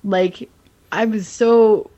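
A woman's voice: mid-range voice, steady tone, extremely slow, mellow. A giggly woman co-hosting a podcast and chatting casually about television, on slightly dull lo-fi audio. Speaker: podcast co-host. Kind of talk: casual TV episode discussion.

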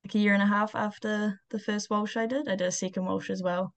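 A woman's voice: medium pitch, flat delivery, fairly quick. A woman with a New Zealand accent, speaking informally and a little hesitantly as a guest in a casual interview. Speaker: interview guest, aircraft technician and pilot.

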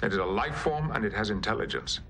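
A man's voice: on the low side, lively intonation, fast, a bit bright, slight background noise. An actor playing a male starship officer who earnestly explains that an alien life form is intelligent. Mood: urgent. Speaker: actor in a science fiction series clip. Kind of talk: dramatic scripted dialogue.

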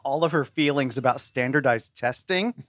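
A woman's voice: extremely low pitch, normal speed, dry recording. A woman chatting casually as a podcast guest, sharing emphatic opinions and peppering them with "like". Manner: animated opinions, frequent "like". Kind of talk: casual chat.

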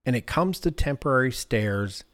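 A man's voice: mid-range voice, animated, normal speed, a bit bright, no room sound. A man hosting a conversational podcast, talking informally and thinking aloud as he goes. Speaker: podcast host.

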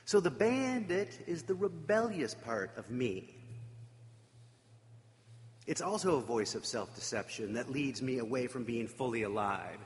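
A man's voice: mid-range voice, lively intonation. Man giving a sermon, voice swoops up and down a lot, long hall echo. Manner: reflective, emphatic with dramatic pauses. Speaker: preacher.

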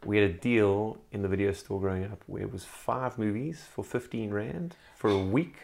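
A man's voice: on the low side, normal speed, vocal fry. A man from Africa, chatting casually as a podcast guest and rambling with fillers. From South Africa. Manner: relaxed, rambling with fillers and laughs. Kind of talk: casual chat.

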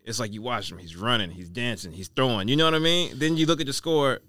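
A man's voice: mid-range voice, quick pace, sharp tone, dry recording, clean studio sound. A man on a sports podcast panel, chatting casually about football and game picks.

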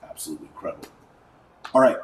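An American man hosting a podcast, giving an informal, opinionated monologue and emphatically listing points with repetition. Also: racing. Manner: emphatic, repeats and lists items for effect. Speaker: podcast host; online course teacher. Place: indoors at a desk. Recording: roomy echo, distant mic, faint noise.

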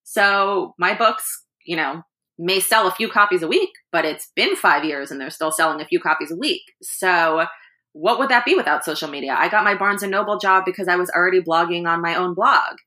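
A woman with a low but bright, forceful voice chats as a guest author, animated and quick to laugh. She talks in a loose, conversational way with frequent restarts. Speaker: author and anthology editor, guest. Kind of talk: casual interview chat.

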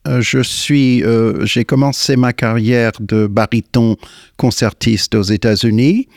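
An older American man, a singer and guest, answering in French in a slow, hesitant way with many fillers and restarts. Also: medium pitch, fairly even intonation, a little mellow, no room sound, studio quality. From United States. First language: English. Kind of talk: radio interview. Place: radio studio.

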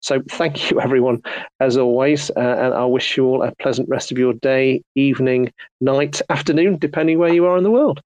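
A man's voice: medium pitch, brisk, darkish tone, no echo. A man hosting an online audio chat, soothing and polite, with many fillers and restarts. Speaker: host. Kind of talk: casual chat.

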